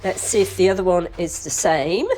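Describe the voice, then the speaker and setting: Excited woman unboxing stuff, lots of oohs, faint hiss behind. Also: fairly low pitch, moderate pace. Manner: enthusiastic, exclaims often. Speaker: vlog co-host. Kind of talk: casual unboxing chat.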